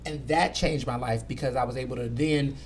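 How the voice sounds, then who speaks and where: A man in his thirties from Houston with a light Southern accent, chatting as a guest about his work as a food editor, animated and full of "you know". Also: mid-range voice, a little fast, slight background noise. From Houston, Texas. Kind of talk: casual conversation.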